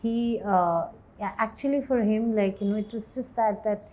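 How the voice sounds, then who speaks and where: A woman in her forties answers interview questions in a low, warm voice, taking her time and often pausing to find her words. She is candid and reflective, with easy laughter and a tone pitched at the middle of her range. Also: rough recording. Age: 40s. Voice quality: low voice, self-described as bass. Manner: thoughtful, hesitant, laughs easily. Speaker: actor and writer, interview guest.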